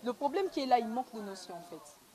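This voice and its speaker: A woman's voice: a little high, big pitch swings, fast talker, warm tone. A young woman with a Congolese accent, answering conversationally as an interviewed film actress, recorded on noisy location sound. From Republic of the Congo.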